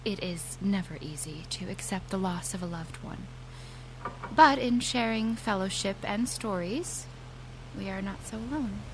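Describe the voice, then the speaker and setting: An actress playing a solemn, gentle bereavement group leader in a fiction podcast, speaking in a measured, formal manner. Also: female voice, mid-range voice, noisy background, close to an everyday voice. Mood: gentle, consoling. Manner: measured, formal, deliberate phrasing.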